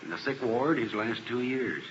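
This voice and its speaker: A man's voice: medium pitch, lively intonation, normal speed, close to an everyday voice. A man playing a wry, weary, plainspoken police officer in a 1950s radio drama. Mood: wry, resigned. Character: matter-of-fact male police officer, wryly weary. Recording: dull, noisy old radio recording.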